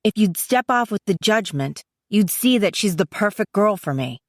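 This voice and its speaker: A woman's voice: mid-range voice, expressive, normal speed, no echo, clean studio sound. A woman voicing a defiant sixteen-year-old boy in an audiobook, hot-headed, resentful, combative and insistent. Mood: defensive. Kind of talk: dramatised audiobook dialogue.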